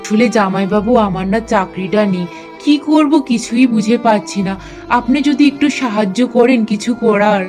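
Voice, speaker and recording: A woman's voice: mid-range voice, normal speed, a little mellow, faint music behind, natural voice. A woman voicing a downcast young man who has lost his job, in a family cartoon, pleading hesitantly. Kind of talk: cartoon voice acting.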